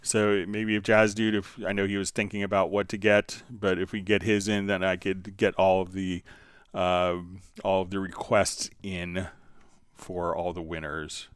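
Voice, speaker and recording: A man hosting a casual live software tutorial, thinking aloud in an informal way. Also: sits low, little pitch movement, measured pace, clean tone, no room sound, clean studio sound. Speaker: livestream host, software instructor.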